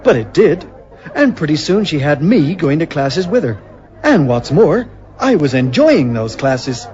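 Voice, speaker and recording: A man playing a patient, quietly resentful suburban husband, speaking and narrating hesitantly in a folksy radio drama style. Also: fairly high pitch, big pitch swings, measured pace, some chatter behind, slight background noise. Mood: wry, resentful. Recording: muffled radio drama recording.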